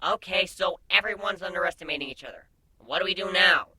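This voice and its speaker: A man's voice: high-pitched, brisk, sharp tone, voice pushed up. A man voicing a mocking, sarcastic male pony sidekick in a fantasy story reading, in a very echoey, distant-sounding recording. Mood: wry.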